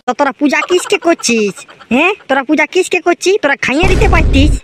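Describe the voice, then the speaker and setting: A man voicing a grumbling, put-upon village priest in a comic cartoon, with a rustic Purulia Bengali accent and a pitched-up voice. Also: animated, quick pace. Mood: scolding. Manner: scolding, rustic, exclamatory. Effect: pitch-shifted up. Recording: clearly noisy track with clicks.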